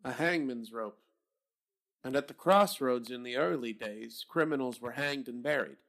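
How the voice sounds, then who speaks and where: Radio drama: blunt police captain, gravelly voice, brusque and doubting. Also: male voice, mid-range voice, moderate pace, fairly bright tone. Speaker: voice actor. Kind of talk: old-time radio drama acting.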